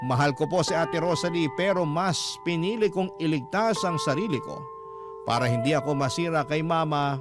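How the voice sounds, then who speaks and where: A Filipino man narrates a radio drama in scripted first person. His voice sits a little high for a man, with very prominent music underneath. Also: moderate pace. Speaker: radio drama narrator reading a letter sender's story. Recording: loud music bed under the voice.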